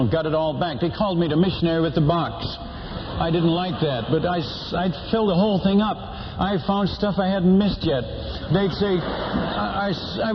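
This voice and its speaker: A man's voice: a little high, noisy background. Older man telling stories in a reverberant hall. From Netherlands. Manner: folksy, humorous, halting with restarts and fillers. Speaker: missionary. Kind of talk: storytelling talk to a church audience. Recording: muffled hall recording with long reverb.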